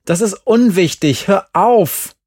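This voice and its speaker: A man voicing a frantic, bossy teenage boy who shouts and swears in an audiobook. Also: high voice, expressive, slow, slightly rough, no echo, clean studio sound, voice pushed up, full character voice. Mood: urgent.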